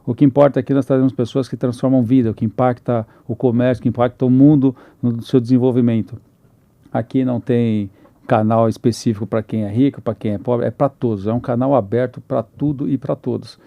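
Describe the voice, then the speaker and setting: A man's voice: mid-range voice, fairly even intonation, brisk, vocal fry, dry recording, muffled recording. A man hosting a podcast, introducing his guests with enthusiastic, rambling talk full of restarts. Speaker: podcast host.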